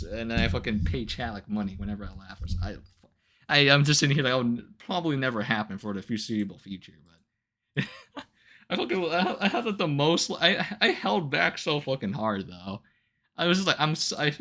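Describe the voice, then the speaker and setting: A male live streamer with a bright, slightly high voice talks off the cuff to his viewers. His pitch moves around a lot as he rants in an incredulous, loose and sweary style. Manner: animated, incredulous, heavy casual swearing; laughs often between remarks. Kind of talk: casual livestream chat, ranting to viewers.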